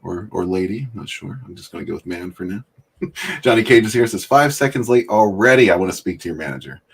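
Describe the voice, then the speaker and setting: A man's voice: deep voice, lively intonation, a little fast, gravelly, husky. A man hosting a casual livestream chat, greeting viewers by name and laughing often. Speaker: livestream host.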